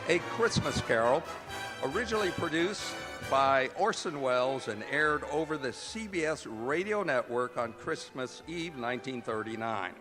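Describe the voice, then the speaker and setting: A man hosting live radio theater on a performing arts stage, reading announcements and sponsor spots in a projected, presentational way. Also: a little high. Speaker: announcer and host. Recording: hall reverb, faint music.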